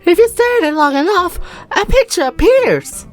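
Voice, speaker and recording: A woman voicing an eager, cheeky young schoolboy with an animated, exclamatory delivery in a storybook fandub. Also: very high-pitched, moderate pace, faint music behind, voice pushed up. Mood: explaining. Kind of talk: storybook fandub character acting.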